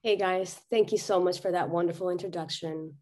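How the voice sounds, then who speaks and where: Young female doctor as guest presenter, lowish voice, slightly hoarse from a cold. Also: steady tone, fairly quick, a little mellow. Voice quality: slightly raspy. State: getting over a cold, voice worn from chanting. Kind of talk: presentation with slides. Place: online video conference.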